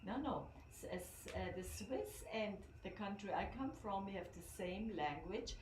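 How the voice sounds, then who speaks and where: A woman's voice: medium pitch, expressive, moderate pace. Chatty German-accented woman, echoey room. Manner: chatty, searches for words with fillers and restarts. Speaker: guest. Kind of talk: casual conversation. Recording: echoey room with background noise.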